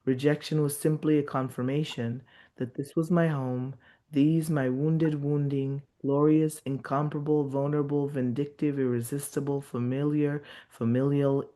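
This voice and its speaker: A man reading his poetry in a measured, incantatory way, as a guest on a video call. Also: fairly high pitch, little pitch movement, warm tone.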